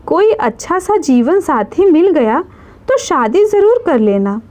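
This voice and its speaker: A woman's voice: high-pitched, big pitch swings, measured pace, dark tone. A woman playing a solemn male ghost, vengeful yet grateful, in a dramatic story. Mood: grateful blessing.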